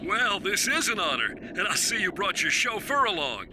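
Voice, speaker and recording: An actor playing an earnest, grand galactic president in a video game, speaking formally and dramatically. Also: male voice, high-pitched, very animated, moderate pace. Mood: grave, official. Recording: loud background noise.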